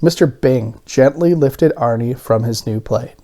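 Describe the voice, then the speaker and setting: A man narrating a children's picture book aloud in an expressive storytelling style. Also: medium pitch, measured pace.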